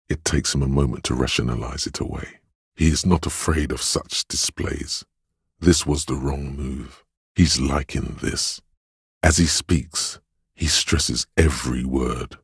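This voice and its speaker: A man voicing a solemn, tender inner voice that reads others' feelings in a video game, measured and brooding in delivery. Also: no echo, clean studio sound, exaggerated voice. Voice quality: deep, husky, gravelly. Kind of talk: scripted video game narration.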